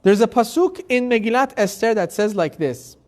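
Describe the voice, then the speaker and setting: A man's voice: high voice, lively intonation, moderate pace, a little mellow. A rabbi teaching a live Torah class. He reads Hebrew verses, translates them phrase by phrase, and asks the class rhetorical questions in a loose, conversational way. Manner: conversational, with restarts and fillers.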